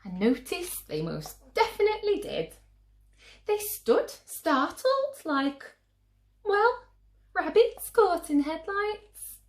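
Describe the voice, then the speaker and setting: A high-voiced woman reads a children's picture book aloud. Her intonation swings widely and she takes her time, stretching words for emphasis. The sound is roomy and echoing. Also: very animated. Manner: theatrical, drawn-out emphasis for young listeners. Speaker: children's story reader.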